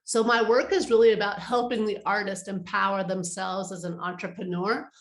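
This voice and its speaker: A woman's voice: medium pitch, level tone, normal speed, echoey room. A woman speaking conversationally as an advisor being interviewed, explaining her work and giving practical advice. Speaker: interview guest, art advisor.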